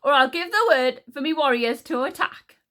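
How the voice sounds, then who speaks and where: A haughty warrior queen from a storybook, acted out by a woman reader. Her voice is high and forceful, with an imperious, indignant snap. She is hot-tempered and contemptuous. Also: moderate pace. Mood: commanding.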